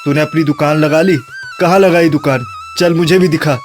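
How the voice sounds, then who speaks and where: A man's voice: on the high side, fairly even intonation, normal speed, soft background music, close to an everyday voice. A man voicing an earnest, hardworking younger son in a cartoon moral story, speaking sincerely and pleadingly. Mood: hopeful.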